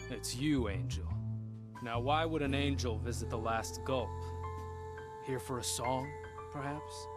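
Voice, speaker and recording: A man's voice: mid-range voice, unhurried, natural voice. An actor playing a rueful, dryly poetic male scavenger turned singer in a video game, with theatrical storytelling delivery. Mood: wry. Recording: music playing behind the voice. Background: loud guitar music.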